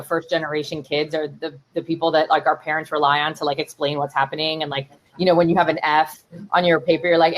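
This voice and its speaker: Low-voiced chatty woman. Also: poor audio. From Miami, Florida. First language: Spanish. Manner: chatty, lots of fillers and "like", animated asides. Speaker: startup CEO and keynote guest. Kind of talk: conversational interview.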